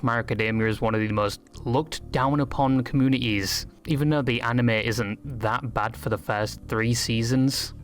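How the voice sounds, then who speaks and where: Male video essay narrator, medium pitch, wry scripted comedy with a crude streak.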